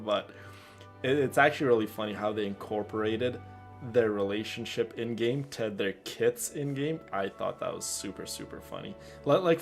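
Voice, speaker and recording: A man's voice: medium pitch, gravelly, darkish tone. A man doing casual, rambling and emphatic live commentary as he plays a game, easily amused. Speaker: game streamer.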